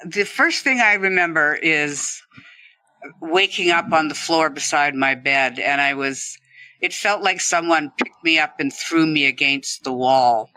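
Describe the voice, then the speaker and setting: Very bright-voiced woman, dry sound. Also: low-pitched, a little slow, dry recording, rough recording. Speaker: podcast guest, former ski instructor. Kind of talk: conversational personal storytelling.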